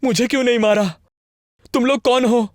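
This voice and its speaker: A man playing a frightened, short-tempered young friend in an animated horror story, speaking in a dramatic, urgent way. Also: very high voice, normal speed, noisy background. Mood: fearful, accusing.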